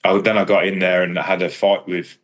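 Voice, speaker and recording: A man's voice: little pitch movement, normal speed. A man, chatting casually in a rambling, understated, self-deprecating way as a fighter and coach. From England. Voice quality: deep voice. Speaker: kickboxer and striking coach. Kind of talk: casual interview chat about own career.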